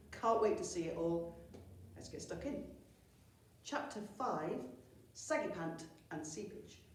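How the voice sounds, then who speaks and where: A woman teacher reads a story to children with theatrical expression. Her voice is mellow and fairly low. The room is very echoey and the microphone sounds some way off. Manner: animated, theatrical delivery. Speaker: teacher reading a story to pupils. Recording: very echoey room, distant mic.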